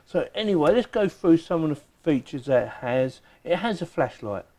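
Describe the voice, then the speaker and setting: Guy doing a product review, mellow relaxed voice, chatty, kinda thinking out loud while he shows stuff. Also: fairly high pitch, normal speed. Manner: chatty, informal, thinking aloud. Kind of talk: casual unboxing and demonstration chat.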